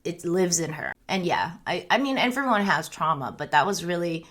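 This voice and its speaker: A woman's voice: lowish voice, expressive, normal speed, a bit bright. A young woman from the United States chatting casually on a livestream, rambling and candid, often breaking into laughter. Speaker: streamer. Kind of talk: casual chat.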